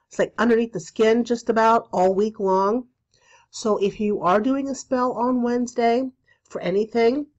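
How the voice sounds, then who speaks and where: A woman in her forties with a stuffy cold, hosting a casual spiritual podcast from a small room, chatty and full of ums and pauses. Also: medium pitch, muffled recording. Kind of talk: casual solo podcast talk.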